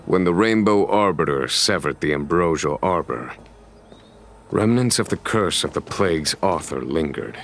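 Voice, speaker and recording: An actor playing a grave, composed general in a video game cutscene, speaking in a solemn, deliberate and formal way. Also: male voice, low-pitched, lively intonation, vocal fry, fairly bright tone, exaggerated voice. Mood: solemn, explanatory. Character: grave, composed male general, measured and commanding.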